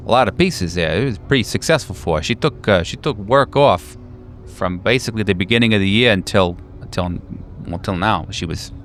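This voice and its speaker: A man playing a weary, hardened veteran handler in a tabletop roleplay, talking in a halting, offhand way that trails off. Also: sits low, gravelly, a bit bright, a little hiss or hum. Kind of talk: improvised tabletop roleplay dialogue.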